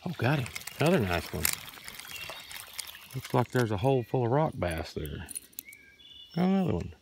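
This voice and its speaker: A man giving relaxed commentary while fishing a creek on a handheld camera, chuckling and talking to viewers. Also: mid-range voice, moderate pace, vocal fry. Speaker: fishing vlogger. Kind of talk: casual outdoor commentary. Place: outdoors by a creek. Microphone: handheld camera, point of view. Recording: outdoor camera audio with insect noise. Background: crickets and insects.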